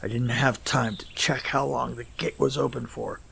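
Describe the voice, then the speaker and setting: A man's voice: mid-range voice, fairly even intonation, moderate pace, vocal fry, fairly bright tone, a little hiss or hum. An actor playing a dying, apologetic man in an audio drama, gasping and wounded. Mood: weak, remorseful. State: gasping, coughing, badly wounded.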